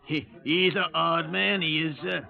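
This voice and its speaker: An actor playing a cheeky, hard-up London Cockney pub informant in a 1950s radio drama, wheedling and deferential. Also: male voice, fairly high pitch, a little slow, creaky. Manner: ingratiating, deferential. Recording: tinny old radio broadcast recording.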